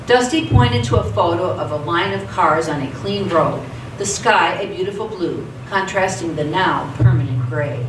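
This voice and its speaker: A woman's voice: lowish voice, normal speed. Woman, radio theatre narrator, scripted storytelling, echoey, distant mic. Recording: echoey stage, distant mic, clear noise.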